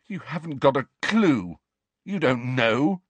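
Guy putting on an angry teen boy, high and loud, yelling, voice shaking. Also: lively intonation, slow pace, husky, no room sound. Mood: furious. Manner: shouting, voice shaking with rage. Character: grieving, furious teenage boy wizard.

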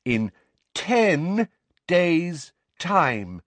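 A man voicing a condescending middle-aged woman school inspector, sickly sweet, speaking slowly and over-enunciating in a patronising way. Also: on the high side, wildly swooping pitch, a bit bright, dry recording, voice pushed up, full character voice. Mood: smug, slow.

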